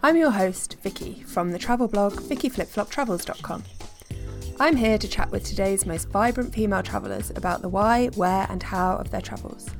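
Woman podcast host with a gravelly edge. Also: medium pitch, normal speed, over music. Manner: friendly interviewer, prompts with short questions. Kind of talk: scripted intro then casual interview.

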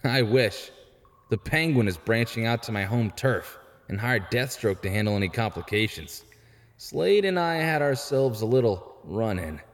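A man's voice: medium pitch, animated, moderate pace, lightly acted. A man playing a wisecracking young vigilante and former sidekick in an audio drama, full of breezy, sarcastic banter. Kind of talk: fan audio drama acting. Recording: long hall-like reverb.

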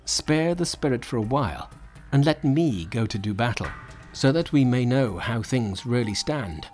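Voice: medium pitch, animated, normal speed, a little mellow, faint music behind, lightly acted. A storybook narrator voicing a proud young male warrior god in a haughty, declamatory manner. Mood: earnest respectful. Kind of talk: storybook character voice.

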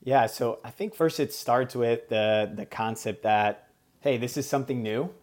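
A man whose first language is Portuguese, speaking as an executive guest in a business interview and explaining his points in a measured way. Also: mid-range voice, a little mellow. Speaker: podcast guest, sales executive.

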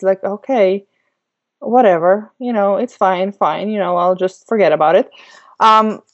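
A woman's voice: mid-range voice, a little mellow, dull audio. A woman from Slovenia answering as an interview guest, chatty and reflective as she tells personal stories. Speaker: podcast guest, business coach.

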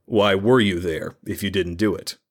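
A man voicing a cold, focused male constable who speaks in a measured way. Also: low voice.

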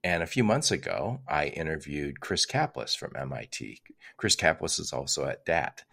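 A man's voice: very deep voice, big pitch swings, normal speed, vocal fry, pushed and bright. An older American man hosting a casual interview, talking in a chatty, digressive way. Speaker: podcast host.

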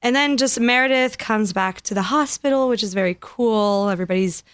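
A woman's voice: fairly high pitch, a little fast, smooth, dry recording, clean studio sound. A French-born woman with no accent, an actress, answering a radio host's questions in a friendly, modest way with frequent fillers. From France. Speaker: actress guest. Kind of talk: casual interview. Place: radio studio.

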